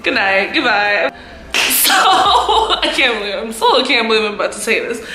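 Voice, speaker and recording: Bright, loud young woman in a roomy space. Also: fairly high pitch. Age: 20s. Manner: giggly, self-mocking, animated chat. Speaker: vlogger, host of own channel. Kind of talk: casual storytime chat to camera.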